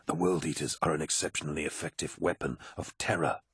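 A man's voice: extremely low pitch, steady tone, quick pace, fairly bright tone, breathy edge, no echo, clean studio sound, heavily acted. A man voicing a pragmatic, questioning warrior lieutenant in an audiobook, reasoning cautiously. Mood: wary, reasoning. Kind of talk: dramatic audiobook character acting.

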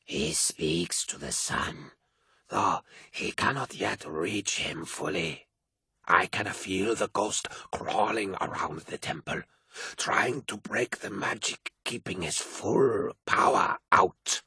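A man doing a raspy, hushed old priestess. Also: dry recording, heavily acted. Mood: cryptic. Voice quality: breathy, raspy hush. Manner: slow, low and cryptic. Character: old female cult priestess, cryptic and menacing. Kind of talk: audiobook character voice.

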